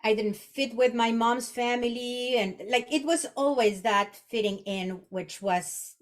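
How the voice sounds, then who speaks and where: A woman's voice: on the high side, unhurried. A woman in her forties from Mexico, a native Spanish speaker with a Mexican accent, reflecting conversationally as an interview guest and often restarting her phrases. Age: 40s. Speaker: podcast guest, executive coach.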